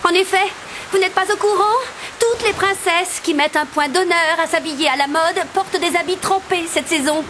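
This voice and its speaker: A young cartoon princess with a very high voice. She sounds pert, poised and a little imperious, sure of her rank. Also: normal speed, a bit bright, slight background noise. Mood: haughty. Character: haughty, self-assured young storybook princess. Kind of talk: animated fairy-tale dialogue.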